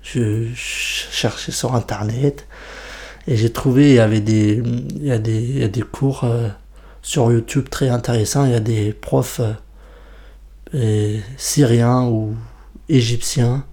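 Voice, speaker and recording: A young man speaking careful French as a second language, his first tongue being Kurmanji. His voice is dark and mellow, and he stops often to restart a word or find the next one. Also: mid-range voice, fairly even intonation, a little slow. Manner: hesitant, repeats words while searching for them. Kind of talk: documentary interview testimony.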